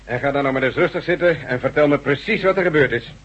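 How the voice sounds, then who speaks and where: Husky, authoritative police lieutenant. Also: male voice, a little high, fast talker, fairly bright tone. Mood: brisk. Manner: authoritative, emphatic. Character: male police lieutenant, brisk, authoritative, grim. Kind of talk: Dutch radio drama acting. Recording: dull old radio drama recording.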